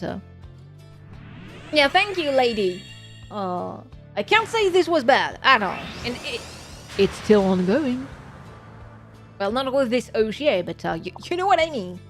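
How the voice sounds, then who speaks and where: A woman with a French accent, a native French speaker, chatting casually on a gaming stream in a musing, rambling way and correcting herself as she goes. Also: fairly high pitch. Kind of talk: casual gaming livestream chat.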